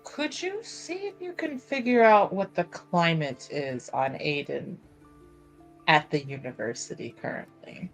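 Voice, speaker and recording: A woman's voice: on the low side, lively intonation, measured pace, faint music behind, poor audio. A woman playing a tabletop roleplaying game, talking casually and improvising what her character does.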